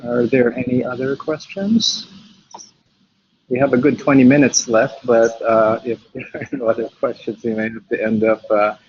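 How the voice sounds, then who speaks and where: A man hosting an interview, asking questions in a flat, measured way. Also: mid-range voice, husky. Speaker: interview host. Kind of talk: moderating questions. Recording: dull lo-fi recording.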